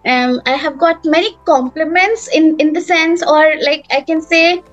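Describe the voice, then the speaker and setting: Soft-voiced high Indian woman guest. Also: a little slow, dry recording. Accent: Indian English. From Mumbai, India. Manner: hesitant, many fillers and restarts. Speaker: guest, teacher. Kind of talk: casual interview answers. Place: remote video call. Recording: dull lo-fi sound with faint music.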